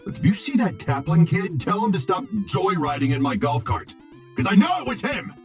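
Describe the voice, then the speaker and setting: An actor playing a vain, grumbling robot film director from a game, with acid-tongued, theatrical Hollywood patter. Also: male voice, high voice, big pitch swings, moderate pace, soft background music. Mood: irritated.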